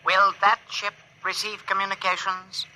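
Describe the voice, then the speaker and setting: A male actor playing a peaceable, melancholy alien in a 1950s radio drama, speaking formally and deliberately through a translation machine. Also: high voice, monotone, sharp tone, soft background music, poor audio. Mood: measured, grave. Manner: stilted, formal, deliberate phrasing. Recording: vintage radio drama recording.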